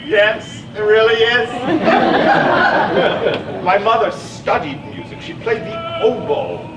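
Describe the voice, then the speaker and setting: A man acts out an eager, pedantic chatterbox in a stage play, his high voice swinging up and down. He rambles excitably and repeats himself. It is picked up from far off in an echoey space. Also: takes it slowly, exaggerated voice. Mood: excitable, proud. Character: chatty, eager know-it-all male psychiatric patient named Ethan. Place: theatre stage, recorded from a distance. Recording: echoey stage recording, distant mic, music.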